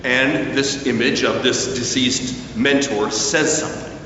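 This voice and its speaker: A man delivering a sermon as a preacher, with deliberate phrasing and emphatic pauses. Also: medium pitch, steady tone, bright, forceful tone. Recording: very echoey hall, distant, slightly dull, faint noise.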